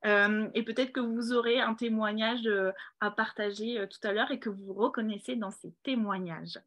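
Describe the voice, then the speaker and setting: A woman's voice: medium pitch, steady tone, moderate pace, fairly bright tone. A woman hosting an award ceremony on a video call, speaking encouragingly to children, with frequent fillers. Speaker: ceremony host. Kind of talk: presenting a prize ceremony.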